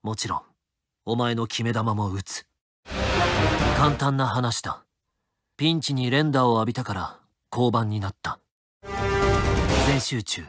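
A man's voice: medium pitch, measured pace, pauses often, natural voice. An actor playing a terse, stern young swordsman in an anime-style game, cool and clipped. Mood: cool, stoic. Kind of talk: anime-style mobile game character lines. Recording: game voice clips over loud music.

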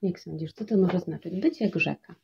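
A woman's voice: fairly low pitch, normal speed, creaky, a little mellow. A woman asking children simple, open questions as an interviewer. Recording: dull, echoey, noisy room recording.

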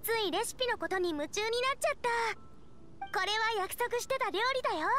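A woman's voice: very high voice, expressive, brisk, bright, forceful tone, faint music behind, heavily acted. A woman voicing a cheerful, eager teenage girl chef with a bubbly, friendly manner in an anime game. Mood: excited.

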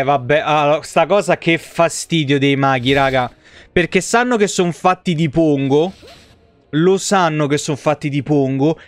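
A man, giving casual, blunt and sweary live game commentary to his chat. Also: a little high. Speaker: live streamer. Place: indoor room at home, streaming setup. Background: video game music.

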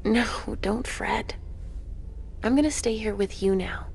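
An actress playing a troubled, tender vampire seer mother in a video game, speaking in a hesitant, halting way. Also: a little high, vocal fry, darkish tone, a little hiss or hum. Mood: tender, reassuring. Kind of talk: dramatic game cutscene acting.

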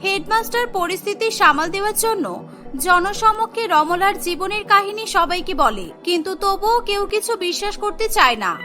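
A woman's voice: very high voice, lively intonation, normal speed, over music. A woman narrating a scripted cartoon story.

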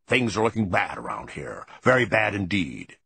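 A man's voice: deep voice, very animated, vocal fry, breathy edge, dry recording, full character voice. Man playing a bellowing, bossy old grandfather mouse. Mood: scolding, emphatic. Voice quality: shrieking, shouting. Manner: domineering, emphatic, drawn-out key words.

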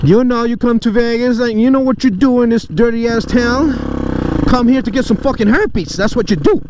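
A man's voice: very high-pitched, normal speed. A man talking casually and crudely, swearing and joking as he rambles for his vlog while riding a motorcycle outdoors in the city. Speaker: motorcycle vlogger. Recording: clear engine and street noise. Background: motorcycle engine, traffic, street ambience.

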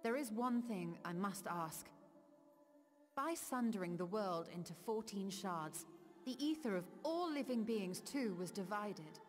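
A woman playing a composed, scholarly sorceress in a game cutscene, with formal, deliberate and articulate phrasing. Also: mid-range voice, lively intonation, lightly acted. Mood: intent, questioning. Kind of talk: scripted game cutscene acting. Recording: long reverb over background music.

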